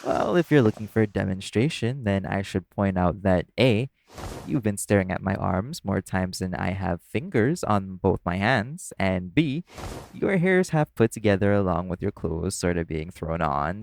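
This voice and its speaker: Man acting as a low, gravelly, easygoing club performer. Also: lively intonation, moderate pace, slight background noise. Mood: playful teasing. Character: easygoing, teasing male club performer chatting with new coworker. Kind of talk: intimate audio roleplay, casual conversational acting.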